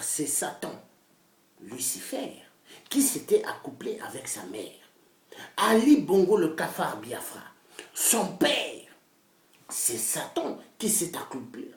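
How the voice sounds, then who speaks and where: A woman delivering an impassioned political rant, fiery and repetitive, swinging from loud outbursts to hushed whispers. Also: medium pitch. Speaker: political activist. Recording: roomy echo, voice far from the mic.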